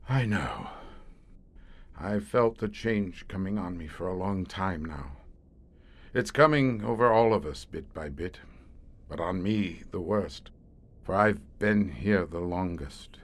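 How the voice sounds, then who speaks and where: A man's voice: deep voice, lively intonation, exaggerated voice. An actor playing a haunted older police inspector in a radio horror drama, brooding and theatrical in his delivery. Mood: weary, anguished. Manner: slow, brooding, grandiose and theatrical. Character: haunted, doomed older male tunnel police inspector, slowly turning monstrous.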